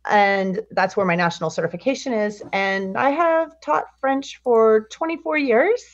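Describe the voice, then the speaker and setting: A middle-aged woman from California, a teacher and guest speaker, explaining her career in a friendly, measured way over a video call. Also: fairly high pitch, no echo, muffled recording. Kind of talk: live online interview presentation.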